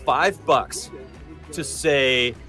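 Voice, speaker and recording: A man's voice: mid-range voice, drawn-out words, pushed and bright, soft background music. An American man from Southern California chatting casually as an interviewed voice actor. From Southern California, United States.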